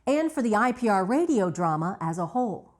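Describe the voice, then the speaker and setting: A woman's voice: mid-range voice, sing-song, a little fast, darkish tone. A woman reading scripted narration as the narrator of a documentary.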